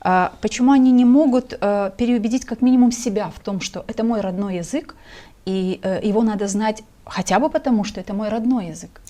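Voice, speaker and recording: A woman's voice: mid-range voice, a little fast, dark tone. A woman from Gagauzia hosting an interview, asking pointed, pressing questions. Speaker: interview host.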